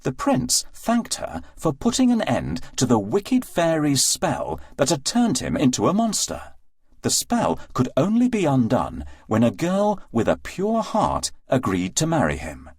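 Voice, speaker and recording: Very animated, slightly husky male storyteller. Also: fairly high pitch, a little slow, dry recording. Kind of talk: scripted children's story narration. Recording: very dry, with faint music.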